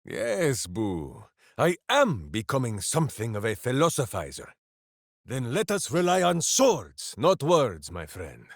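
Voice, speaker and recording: An actor playing a big-hearted, simple-minded berserker warrior in a video game, grandiose and earnest. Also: male voice, medium pitch, huge pitch swings, measured pace, no echo, studio quality, heavily acted. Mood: subdued, solemn. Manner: grandiose, speaks of himself in third person. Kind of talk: fantasy video game voice acting.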